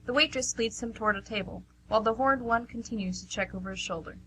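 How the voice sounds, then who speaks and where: Female narrator, scripted radio play narration, bright animated voice, faint background noise and hum. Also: fairly high pitch, quick pace. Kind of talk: scripted stage-direction narration. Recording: noisy amateur radio recording with hum.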